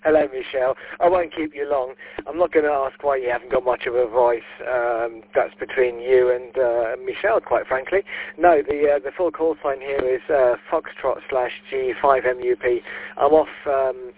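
A man's voice: medium pitch, normal speed, slightly rough. A man on amateur radio, chatting casually and jokily, with lots of ums and uhs. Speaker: ham radio operator. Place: holiday home patio. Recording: tinny radio link.

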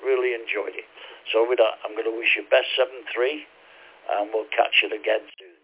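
A man's voice: fairly low pitch, little pitch movement, moderate pace, husky. A man, losing his voice from a bad chest, chatting over amateur radio. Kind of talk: casual radio chat. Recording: radio transmission, phone-like narrow band.

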